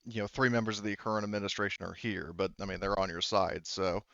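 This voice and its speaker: A man with a smooth, lowish voice runs a tabletop roleplaying game. He explains the situation haltingly, rewording as he goes. The recording is dry and a little dull. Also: little pitch movement, a bit bright, dry recording. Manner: halting, explanatory, circles back to rephrase. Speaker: game master.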